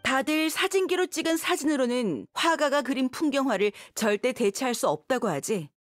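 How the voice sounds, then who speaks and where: A woman's voice: sits high, animated, moderate pace, no room sound, clean studio sound, soft background music. An actress voicing a confident, seasoned young mercenary swordswoman in a video game, frank and self-assured. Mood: proud, explaining.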